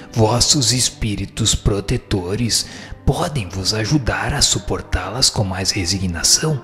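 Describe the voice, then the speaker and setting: A man playing a wise, solemn spirit teacher who admonishes in formal, measured rhetoric in a dramatized book reading. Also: lowish voice, a bit bright. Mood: solemn, counseling.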